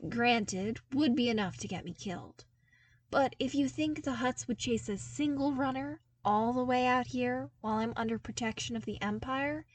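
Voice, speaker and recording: A woman's voice: a little high, distant mic, pitched above the performer's own voice. A woman voicing a playful, earnest young man, a talkative runner in an audio story. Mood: teasing challenge.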